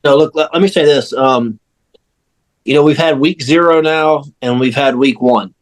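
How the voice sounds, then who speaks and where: A man's voice: fairly high pitch, normal speed. A man on a sports podcast crew, talking casually and emphatically, repeating phrases to drive points home. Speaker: sports radio crew member and panelist.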